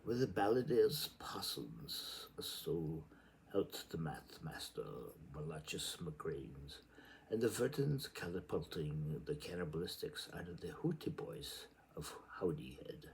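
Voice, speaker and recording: Man, very low voice, slow pace, theatrical literary reading, halting delivery with murmurs and emphatic words. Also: sing-song, creaky, a little hiss or hum, rough recording. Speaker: reader. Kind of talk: dramatic amateur literary reading aloud.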